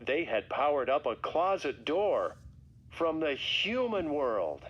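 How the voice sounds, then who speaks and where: A man narrating a scripted read-along story for children in a dramatic, expressive way. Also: fairly high pitch, slow, muffled recording, noisy background. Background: music.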